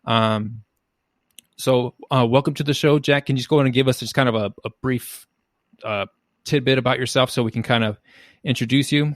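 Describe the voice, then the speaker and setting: A man hosting a podcast, asking questions in a halting way and searching for his words. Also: mid-range voice, fairly even intonation, no echo, clean studio sound. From United States. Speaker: podcast host. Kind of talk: conversational interview hosting.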